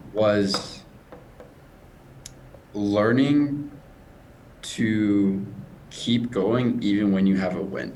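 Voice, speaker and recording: A man's voice: fairly low pitch, a little hiss or hum, low-quality recording. A young man who stutters, a coach talking casually and earnestly in a video call interview. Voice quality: stutters, repeats words.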